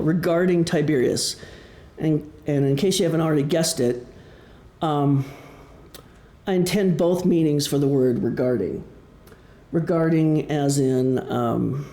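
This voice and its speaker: Mellow, creaky-voiced Midwestern American man. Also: on the high side. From Wisconsin, United States. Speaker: author presenting his novel. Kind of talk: public book talk. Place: indoor presentation room. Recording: echoey room.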